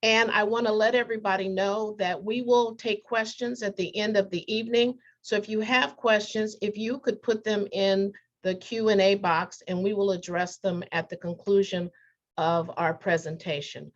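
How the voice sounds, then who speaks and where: A woman judge introducing her fellow panelists in a gracious, measured way with some fillers. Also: mid-range voice, steady tone, lo-fi sound.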